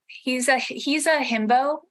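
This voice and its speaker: A woman's voice: high voice, slow pace, a bit bright. A woman chatting casually as a guest on a podcast panel, with a playful, joking manner.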